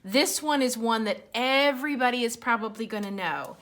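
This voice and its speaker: A woman reading storybooks aloud to children as a library storyteller, expressive and speaking directly to young listeners. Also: a little high, moderate pace.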